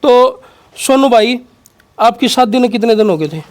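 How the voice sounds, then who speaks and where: A man's voice: very high-pitched, lively intonation, a little slow. A man with a Haryanvi accent working as an interviewer, questioning his guest and explaining things to viewers in a conversational way. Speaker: interviewer and host.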